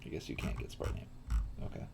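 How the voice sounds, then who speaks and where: A man teaching coding on a live stream, casually thinking aloud and often questioning himself as he works. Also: quick pace. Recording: faint typing and room noise. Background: computer keyboard typing and clicking.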